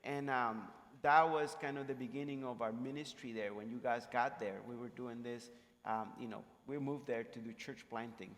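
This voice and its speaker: A man with a Costa Rican accent speaks in a large, ringing hall. His pitch is middling and his first language is Spanish. He tells his story conversationally, pausing and restarting as he goes. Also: fairly even intonation, a bit bright. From Costa Rica. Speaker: missionary pastor, interview guest.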